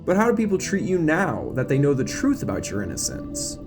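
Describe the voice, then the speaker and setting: A polished television interviewer played by a man in an audio drama. The delivery is eager, formal and probing, lifted in pitch. Music plays beneath. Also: wildly swooping pitch, brisk.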